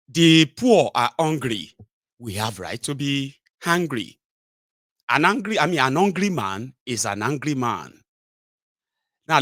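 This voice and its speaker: A man's voice: mid-range voice, a bit bright, no room sound. A Nigerian-accented man delivers heated political commentary, dropping Yoruba phrases into his English. The sound is dry and close, with almost no room around it. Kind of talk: impassioned commentary.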